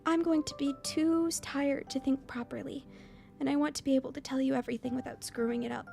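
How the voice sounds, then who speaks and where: A woman's voice: fairly even intonation, fairly quick, vocal fry, a little mellow, faint music behind. A woman voicing a proud but scared teenage girl from a visual novel, raw and emotional. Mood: tentative. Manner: raw, emotional, high-pitched outbursts and pleading. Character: wounded, fiery teenage girl, proud yet scared and vulnerable.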